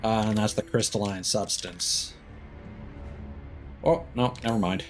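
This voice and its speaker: A man's voice: on the low side, unhurried, over music. A man doing casual live gameplay commentary, muttering to himself in short reactions over game sound. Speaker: video game streamer.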